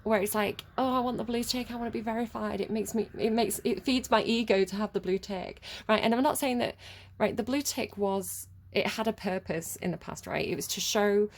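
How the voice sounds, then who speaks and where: A woman's voice: mid-range voice, fairly quick. A British woman giving a casual tarot reading, chatty and rambling, laughing often. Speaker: tarot reader.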